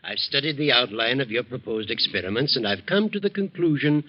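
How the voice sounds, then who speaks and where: A man playing a kindly, benevolent older uncle and patron, genial and measured, in 1940s radio drama style. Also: medium pitch, expressive, natural voice. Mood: genial, thoughtful. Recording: muffled old 1940s radio recording.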